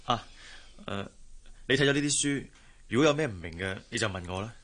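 Young gentleman employer, lowish pitch, Hong Kong Cantonese, brotherly, gentle, radio drama. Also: lively intonation, a little fast. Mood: brotherly, casual. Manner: gentle, patronising.

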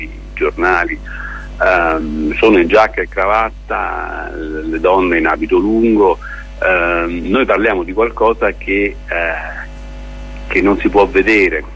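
A man answering as a theatre director in a radio interview, explaining in a halting, deliberate way with pauses and repeated words. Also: sits low, fairly bright tone, slightly rough. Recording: muffled, lo-fi radio interview with noise.

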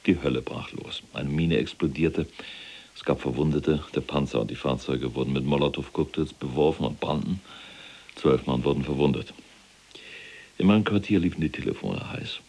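An actor playing a proud, callous, imprisoned SS general recounting the war in a gravelly, husky, self-important military manner in a radio drama. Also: male voice, rumbling low, normal speed, a little mellow, full character voice. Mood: agitated, indignant. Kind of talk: dramatized first-person war recollection.

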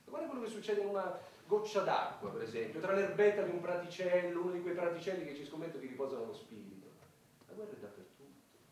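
A man's voice: high-pitched, very animated, quick pace, slight background noise. A man playing a cynical, self-important older president in a radio play, speechifying in a pompous, sardonic way. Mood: condescending. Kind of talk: theatrical radio drama acting. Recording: very echoey hall, distant mic, music.

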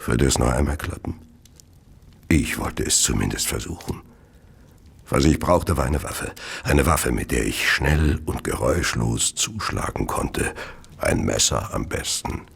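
An actor playing a Victorian merchant who narrates his own murders in a radio play, measured, cold and confessional. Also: lively intonation, heavily acted. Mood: dark, gloating. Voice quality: deep, gravelly. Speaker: narrator of an audio drama.

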